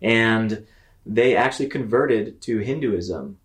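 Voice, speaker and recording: A man's voice: on the low side, slow pace. A man in his thirties from Mississippi, telling his story conversationally as an interview guest, in a reflective, explanatory way. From Mississippi, United States. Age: 30s. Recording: roomy, echoing sound.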